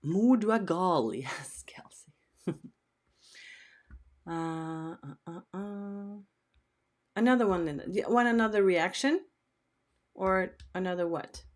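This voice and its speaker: Slow-talking middle-aged woman from Sweden. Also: lowish voice. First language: Swedish. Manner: chatty, unhurried, reads out viewer questions. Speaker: host. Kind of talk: casual live stream chat.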